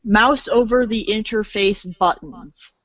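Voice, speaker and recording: A woman's voice: mid-range voice, slow pace, a bit bright, no echo, highs cut off. A woman reading scripted storybook-style narration aloud as a theatrical narrator.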